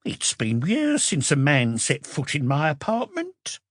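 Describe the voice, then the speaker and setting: A man's voice: a little high, wildly swooping pitch, unhurried, a bit bright, no echo, studio quality, voice pushed up, full character voice. A man voicing an indignant, stubborn old woman in her sixties who denies everything, read in an audiobook. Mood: stubborn, evasive. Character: defensive old woman, retired fortune teller in her sixties. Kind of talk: audiobook character voice.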